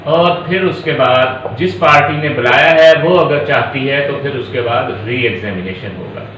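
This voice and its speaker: A man's voice: mid-range voice, moderate pace, fairly bright tone, muffled recording. A man with a Pakistani accent giving an explanatory law lecture, going step by step, repeating himself and mixing in English legal terms. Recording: echoey room with faint background noise.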